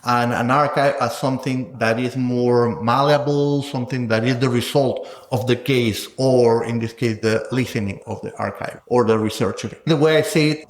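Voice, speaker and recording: A man speaking as an interviewed scholar, explaining ideas conversationally in a thoughtful way and checking in with small tag questions. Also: medium pitch. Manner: thoughtful, explanatory, tags phrases with "no?" and "right?". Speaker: sound scholar, interviewed guest. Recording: echoey room.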